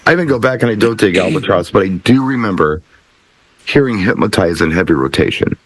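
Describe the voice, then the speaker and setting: A middle-aged man hosting a casual talk show, telling things in a rambling, animated way. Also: on the low side, brisk. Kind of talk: casual chat.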